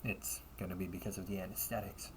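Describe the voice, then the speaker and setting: A man playing a wry, politely smug demon-hunting officer in an audio roleplay, speaking in a courteous, measured, teasing way with breathy asides. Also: sits low, little pitch movement, a little hiss or hum, close to an everyday voice, low-quality recording. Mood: cautioning, calm. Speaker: amateur voice actor. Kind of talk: audio roleplay, one-sided dialogue addressed to the listener.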